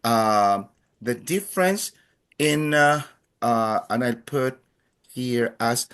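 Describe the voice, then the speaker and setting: A man's voice: medium pitch, slow, fairly bright tone, clear, resonant tone. A man from Chile with a Chilean accent, a native Spanish speaker, teaching a language class in an encouraging, playful way with many fillers.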